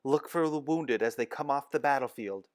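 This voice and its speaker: A man voicing a calm, firm woman nurse in a storybook read-aloud, measured and gentle. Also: medium pitch, no echo, clean studio sound, natural voice.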